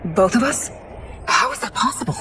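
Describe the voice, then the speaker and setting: A woman's voice: fairly high pitch, animated, moderate pace, background noise, natural voice. An actress playing a regal warrior queen, formal and earnest, in a dramatic video game cutscene. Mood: bewildered. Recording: loud cinematic music over dialogue.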